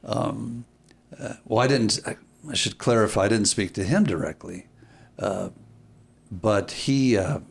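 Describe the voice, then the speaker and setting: A man speaking as an interview guest, reflective and deliberate, often pausing and repeating phrases for emphasis. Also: on the low side, vocal fry, husky. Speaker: podcast guest, filmmaker.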